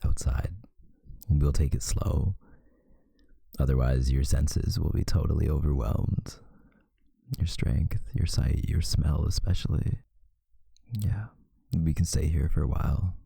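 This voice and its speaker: A man playing a tender, protective vampire lover in an intimate ASMR roleplay, speaking in a husky, hushed voice with deliberate pauses. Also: no room sound, studio quality, exaggerated voice. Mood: soothing, patient. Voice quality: very deep, gravelly, husky, breathy, often near a whisper.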